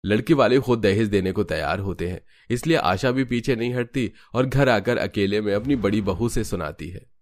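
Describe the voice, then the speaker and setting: A man's voice: on the low side, normal speed, few pauses, no room sound, studio quality. A man reading scripted narration for a family drama cartoon story.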